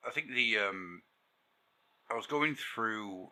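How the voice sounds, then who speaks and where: A man co-hosting a podcast, chatting casually. His voice sits a little low but rings very bright and forceful. He rambles in an animated way, full of fillers and restarts. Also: slow pace, a little hiss or hum. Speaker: podcast co-host.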